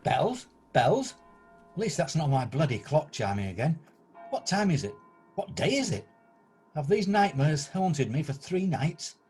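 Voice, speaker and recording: An actor playing an elderly reformed miser, excitable and flustered, newly generous, in an amateur radio play. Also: male voice, fairly high pitch, measured pace, soft background music. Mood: bewildered. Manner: exclamatory, flustered, eager.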